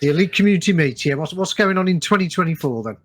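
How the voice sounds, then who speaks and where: A man's voice: sits high, expressive, fast. A man hosting a podcast, chatting casually and encouraging his guest with agreeable, supportive replies. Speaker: podcast host. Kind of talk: casual chat, interviewing.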